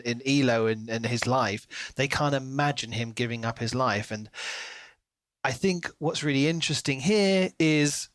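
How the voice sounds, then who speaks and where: A man's voice: mid-range voice, fairly bright tone, dry recording. A man co-hosting a podcast, talking casually through a book and acting out reactions as he retells it. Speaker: podcast co-host.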